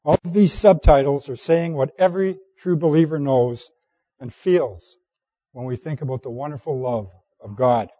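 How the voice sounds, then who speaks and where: A man with a hoarse, mellow voice delivers a sermon, reading scripture in a deliberate, steady cadence. The sound is close and dry, with no room echo. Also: fairly high pitch. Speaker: preacher.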